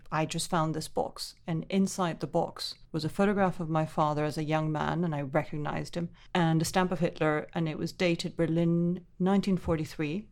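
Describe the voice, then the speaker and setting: A woman's voice: low-pitched, gravelly, a little mellow. A middle-aged woman from Venezuela, an author telling personal stories in a thoughtful, earnest, descriptive way as an interview guest. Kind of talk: reflective personal storytelling in interview.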